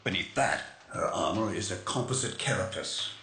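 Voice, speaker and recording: A man acting as an analytical tech strategist for a resistance crew, explaining in a precise, measured way in a video game. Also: low voice, expressive, sharp tone, a little hiss or hum. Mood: matter-of-fact. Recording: echoey, music underneath. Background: music.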